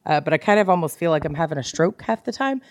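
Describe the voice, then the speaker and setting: Woman podcast host, kinda low voice, jokey and sweary, chatting casually, really dry sound. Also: animated, quick pace, dry recording. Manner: candid, joking, sweary. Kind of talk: casual chat about books.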